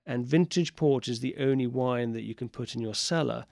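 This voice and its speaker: A man's voice: mid-range voice, moderate pace, gravelly, darkish tone, no room sound. A man who grew up in Portugal, talking as a guest in a radio studio interview, chatty and enthusiastic with frequent fillers. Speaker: port wine sales and export manager. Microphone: studio microphone.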